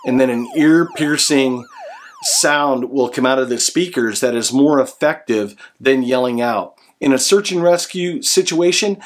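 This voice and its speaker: A man in his forties with an American accent, explaining a product to camera in an earnest, instructional way. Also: medium pitch. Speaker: product reviewer and presenter. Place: small room indoors at home.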